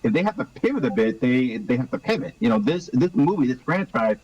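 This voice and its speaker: A man's voice: medium pitch, sing-song, brisk, darkish tone, dull audio. A man co-hosting a live call-in stream, earnest and stumbling over his words as he argues a point. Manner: earnest, stammering restarts. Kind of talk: casual call-in discussion.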